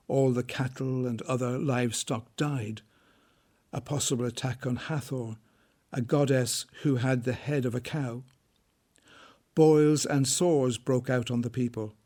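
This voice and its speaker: Male narrator, slow pace, mid pitch, solemn, scripted dramatic storytelling. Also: fairly even intonation, darkish tone.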